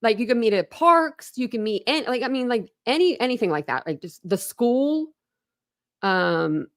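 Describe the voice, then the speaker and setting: A woman hosting a true crime livestream in casual, rambling commentary, often breaking off and restarting. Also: fairly high pitch. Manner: rambling, chatty, self-interrupting with laughs. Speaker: true crime channel host.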